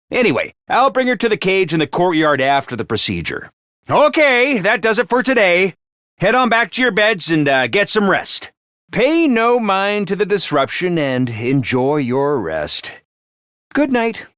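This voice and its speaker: A man's voice: high-pitched, wildly swooping pitch, unhurried, fairly bright tone, dry recording, exaggerated voice. A man voicing a chirpy, scheming male mascot creature who makes cheery announcements and turns snide and callous. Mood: sly. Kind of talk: video game voice lines. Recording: dry studio recording.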